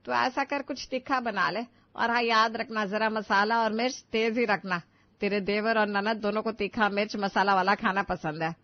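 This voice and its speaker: A woman voicing a kindly, protective older Indian mother-in-law who gently instructs the family. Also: medium pitch, fairly even intonation, moderate pace, dry recording, muffled recording, lightly acted. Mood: instructing.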